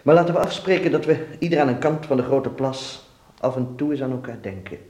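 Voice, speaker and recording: A man's voice: mid-range voice, expressive, a little fast, a little mellow, close to an everyday voice. A man acting a worldly, melancholy dance teacher in a radio play, musing and fatherly with his pupil. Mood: tender, wistful. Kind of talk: Dutch radio drama acting. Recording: echoey room sound.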